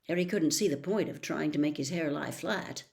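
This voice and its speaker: A woman narrating a story as an audiobook reader, expressive and dramatic, with emphatic phrasing. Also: low voice, normal speed, gravelly.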